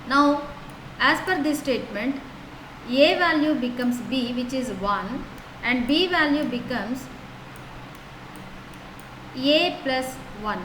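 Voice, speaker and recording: A woman teaching a programming lesson, talking through code step by step in a steady, explanatory way and often starting with "so" and "now". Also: high-pitched. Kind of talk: lecture walking through code. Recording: echoey room with noise.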